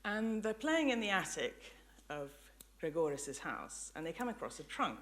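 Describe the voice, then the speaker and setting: A woman giving an articulate, measured public lecture with illustrative storytelling. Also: fairly low pitch, big pitch swings, fairly bright tone.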